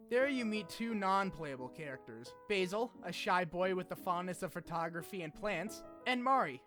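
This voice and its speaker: High-voiced man narrating a casual video essay. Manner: animated delivery, casual swearing, joking asides. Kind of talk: scripted narration with casual comic asides. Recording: music behind the voice. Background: background music.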